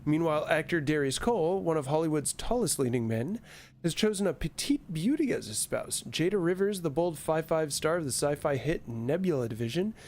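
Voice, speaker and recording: A man's voice: on the high side, lively intonation, fairly quick, darkish tone. A man casually commentating on a game and reading text aloud, with a wry, mildly profane manner. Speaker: streamer playing a horror game.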